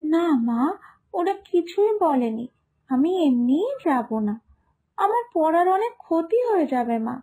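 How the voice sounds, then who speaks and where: Woman acting a very high-pitched devoted cartoon daughter. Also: animated, a little slow, mellow, exaggerated voice. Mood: hesitant, sad. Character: devoted, self-sacrificing village daughter, earnest and tender. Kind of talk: animated moral story dialogue.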